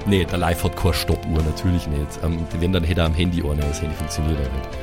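A man narrating an improvised tabletop game as game master in a measured, descriptive way. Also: very deep voice, flat delivery, music in the background.

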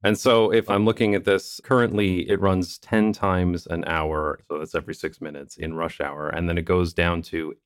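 Deep-voiced man hosting a podcast. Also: level tone, moderate pace, hardly any pauses, clean tone, no room sound, clean studio sound. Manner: thoughtful, explains at length. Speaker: podcast host. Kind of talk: casual podcast conversation.

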